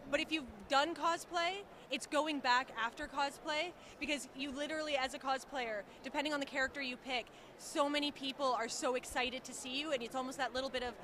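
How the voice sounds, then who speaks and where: A woman's voice: high voice, fairly even intonation, brisk, hardly any pauses, pushed and bright, slight background noise. A young woman who organizes a cosplay group, explaining their charity events fluently and eagerly in an interview at a convention. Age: young adult. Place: outdoors at a busy convention.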